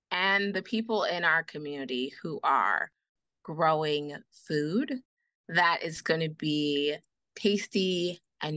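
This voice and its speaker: A woman's voice: on the low side, pushed and bright, no echo. A woman guest on a podcast, speaking with passion and repeating phrases for emphasis. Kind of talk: earnest advocacy in conversation.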